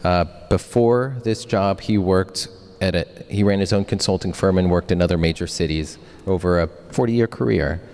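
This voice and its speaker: A man moderating a panel, introducing speakers in a brisk, friendly way. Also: sits low, darkish tone. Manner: brisk, friendly, reads bios fluently. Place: public hall. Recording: reverberant hall.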